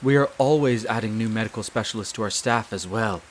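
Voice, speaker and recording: A man playing a courteous, devoted wolf butler in a roleplay audio drama, speaking in a formal, measured and deferential way. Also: lowish voice, slight background noise, lightly acted. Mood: composed, reassuring. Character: courteous, devoted male wolf butler, head servant of vampire castle.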